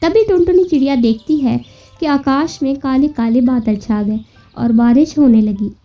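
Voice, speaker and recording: A woman's voice: high-pitched, moderate pace. A woman narrating a children's cartoon story as a scripted storyteller. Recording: muffled, distant-sounding, music behind.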